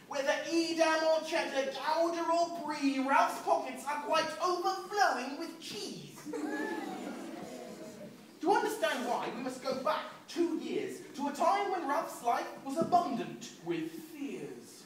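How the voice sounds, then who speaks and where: A man, a children's author, reading a rhyming story aloud in an expressive, sing-song rhythm at a book event. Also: very high-pitched, measured pace. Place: large echoing room at a book event. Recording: echoey hall, distant mic, noisy.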